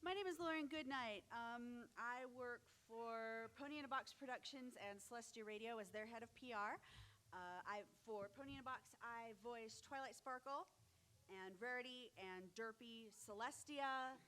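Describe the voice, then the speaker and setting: A woman with a bright, somewhat high voice introduces herself casually on a panel. Faint room noise surrounds her. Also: moderate pace. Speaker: voice actor and PR head. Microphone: table microphone.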